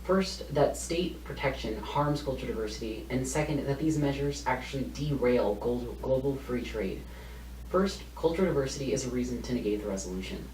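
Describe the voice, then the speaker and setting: A man's voice: medium pitch, brisk, darkish tone. A young adult man delivering a formal, argumentative competitive debate speech, reading prepared points and quoted evidence. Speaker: university debater. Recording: roomy, distant mic, faint noise.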